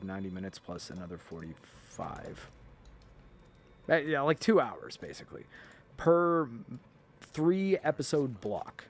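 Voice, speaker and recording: A man hosting a video, giving casual, rambling film and TV commentary in an informal, emphatic way, often halting mid-thought. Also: mid-range voice. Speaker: video host.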